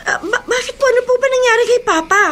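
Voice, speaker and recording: High-voiced, gentle Filipina. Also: female voice, fast. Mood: anxious, grieving. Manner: polite, emotive, hesitant. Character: Isabel, gentle grown daughter returning to her childhood slum. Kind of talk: Filipino radio drama acting. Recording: noticeable background noise.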